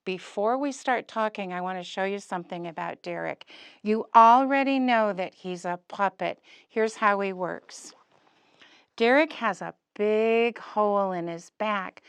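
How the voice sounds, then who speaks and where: A woman's voice: mid-range voice, a little slow, clean tone, dry recording. A woman puppeteer presenting to young children, using simple, emphatic phrases and lots of questions.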